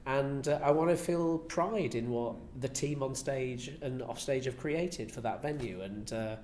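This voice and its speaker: A man's voice: unhurried, darkish tone. A man giving reflective, earnest, conversational answers in an interview. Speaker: interviewee, pantomime writer and director. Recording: echoey room, faint rumbling noise.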